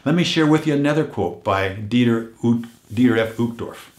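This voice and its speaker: An older man hosting a weekly motivational message, earnest and unhurried, his voice a little hoarse as if he is losing it, often dropping to a hush on heartfelt points. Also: mid-range voice, lively intonation, echoey room. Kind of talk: reflective motivational talk.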